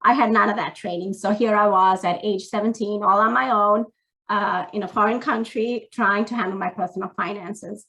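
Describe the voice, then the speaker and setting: Woman, 40s, from Sri Lanka, panelist on a video call; earnest, candid, mid-pitched, many fillers. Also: steady tone, normal speed, fairly bright tone, low-quality recording. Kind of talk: workshop talk to students.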